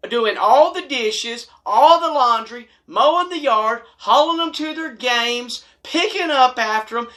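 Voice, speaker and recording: A man's voice: very high-pitched, bright, forceful tone. An American man delivering a humorous storytime monologue to camera in a blunt, folksy, emphatic way. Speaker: video host telling stories and advice.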